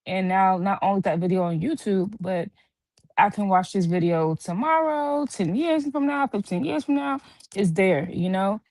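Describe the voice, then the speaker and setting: A woman's voice: medium pitch, lively intonation, a little mellow, no echo. Earnest woman in her thirties, dry sound. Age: 30s. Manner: earnest, reflective, drawn-out storytelling. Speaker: guest. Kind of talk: casual chat. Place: hospital. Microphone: video call.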